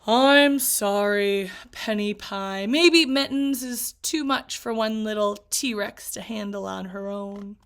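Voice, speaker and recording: A woman playing a calm, gentle dad from a storybook, speaking softly and coaxingly. The delivery is slow and unhurried, with a little gravel in the voice. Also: mid-range voice, voice pushed down.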